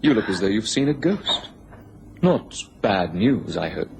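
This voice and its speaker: A man's voice: fairly low pitch, sing-song, unhurried, gravelly, background noise, exaggerated voice. An actor playing a taunting upper-class businessman in a radio drama, with a sardonic, goading manner. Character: sneering, taunting upper-class English businessman, a bitter rival.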